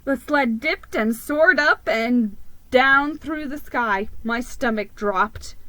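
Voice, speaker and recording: A woman giving a theatrical, expressive dramatic reading of a children's story. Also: fairly high pitch, slow pace, no room sound, poor audio.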